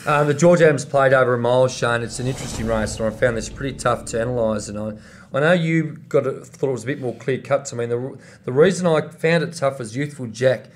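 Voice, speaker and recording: Racing co-host giving tips. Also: male voice, medium pitch, fast talker, slight background noise.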